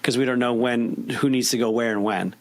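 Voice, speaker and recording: A man's voice: medium pitch, fairly even intonation, brisk. A man speaking casually and conversationally as the co-host of an interview podcast.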